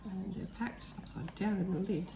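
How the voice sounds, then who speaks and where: A woman with a croaky voice, chatting casually and eagerly about art supplies as she unboxes them, with the odd um. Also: medium pitch, normal speed, noisy background. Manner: chatty, enthusiastic, thinking aloud with ums. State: croaky voice, clearing throat. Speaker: art vlogger.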